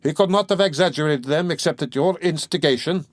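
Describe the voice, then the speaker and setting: A man's voice: fairly high pitch, a little fast, no echo, voice pushed up, natural voice. A man doing a pompous touchy suitor. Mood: pompous huffy. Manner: huffy, sententious, stiffly formal. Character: pompous, touchy middle-aged male suitor.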